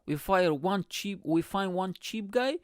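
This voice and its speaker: A man's voice: sits high, lively intonation, measured pace, no echo, studio quality, pitched above the performer's own voice. A man voicing a penny-pinching male wedding organizer who talks in broken English. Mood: insistent.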